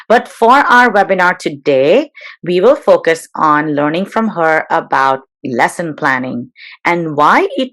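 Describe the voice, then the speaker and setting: A woman hosting a webinar over a video call, introducing and interviewing a guest in a measured, slightly formal way with occasional fillers. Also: fairly low pitch, fairly bright tone. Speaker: webinar host.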